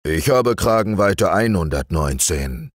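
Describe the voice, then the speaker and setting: A man's voice: deep voice, animated, a little slow, no room sound, studio quality. A man doing a grumpy, grumbling older bear. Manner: grumbling, sly.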